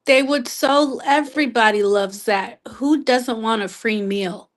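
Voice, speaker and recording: A woman's voice: fairly high pitch, measured pace. A woman chatting casually as a participant on a live audio show. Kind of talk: casual chat.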